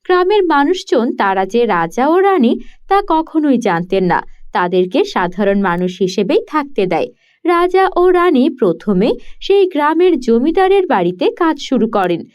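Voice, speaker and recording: A woman giving smooth, even, scripted narration of a storybook cartoon. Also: a little high, muffled recording.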